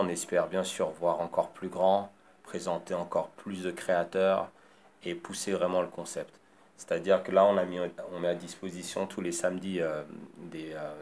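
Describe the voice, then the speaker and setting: A man born in Congo and raised in France, a designer answering interview questions in a halting way with many fillers. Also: sits low, a little mellow, slight background noise.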